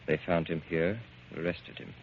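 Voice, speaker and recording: An actor playing a cool, grave man with a measured, insinuating delivery in 1940s radio drama style. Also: low voice, steady tone, vocal fry. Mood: coolly insinuating. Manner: measured, deliberate, coolly insinuating. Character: cool, grave man coldly revealing grim news. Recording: muffled, hissy 1940s radio recording.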